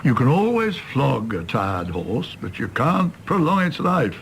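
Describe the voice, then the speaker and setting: An actor playing a blunt, unruffled country doctor in a radio drama, gravelly and matter-of-fact. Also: male voice, medium pitch, big pitch swings, unhurried, slight background noise. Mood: blunt, resigned. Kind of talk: British radio drama acting.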